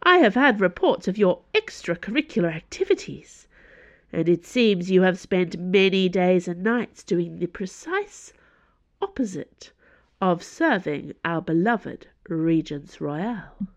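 A woman's voice: mid-range voice, sing-song, slow pace, dry recording, pitched above the performer's own voice. A woman voicing an imperious, silkily menacing older female cardinal in an audiobook, with haughty, sarcastic delivery. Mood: chilly threat. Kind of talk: audiobook character voice.

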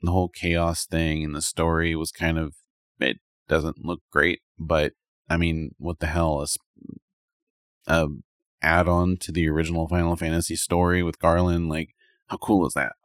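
A man's voice: a bass voice, little pitch movement, normal speed, dry recording, clean studio sound. A man co-hosting a podcast, talking casually and informally about games. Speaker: podcast co-host. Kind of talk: casual gaming chat.

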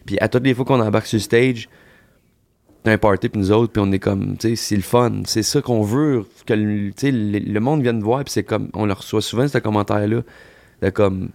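A man with a Québécois French accent, a country singer talking as a podcast guest in a relaxed, slangy way full of fillers and the odd swear. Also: on the low side, normal speed, gravelly. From Quebec. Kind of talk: casual podcast chat.

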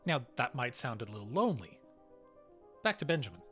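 A male podcast host delivers scripted narration with lively, swinging intonation at a medium pitch. Faint music plays underneath. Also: a little fast.

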